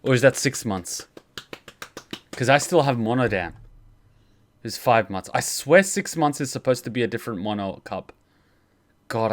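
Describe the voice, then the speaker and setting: Man chatting casually on a livestream. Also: mid-range voice. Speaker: livestream host.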